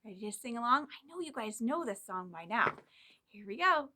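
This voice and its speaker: Very bright, high, animated woman for children. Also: moderate pace. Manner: animated, encouraging, speaks directly to young children. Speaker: children's librarian leading storytime. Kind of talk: children's storytime with singing and reading aloud.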